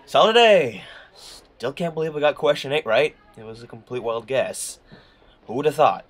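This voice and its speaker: A man playing an affable, self-deprecating high school boy in an audio drama, easygoing and chatty. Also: expressive, moderate pace, close to an everyday voice. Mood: pleasantly surprised.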